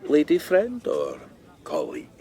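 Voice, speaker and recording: An actor playing a slyly menacing, mock-courteous criminal in a radio drama, with unctuous drawling politeness. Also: male voice, a little high, huge pitch swings, measured pace, mellow. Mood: smug, sly.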